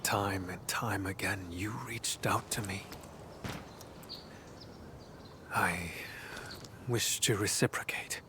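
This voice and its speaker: Over clear nature ambience comes a brooding warrior from a video game. His voice is on the low side and very slow. He speaks formally and intimately, with solemn, earnest devotion. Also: steady tone, background noise. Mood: solemn, devoted. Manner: measured, formal, hushed and intimate. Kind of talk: video game story dialogue acting.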